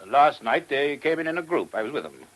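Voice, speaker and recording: An actor playing a measured, thoughtful abbot in a radio play, giving considered and sometimes hesitant answers. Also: male voice, fairly high pitch, animated, fairly bright tone, poor audio.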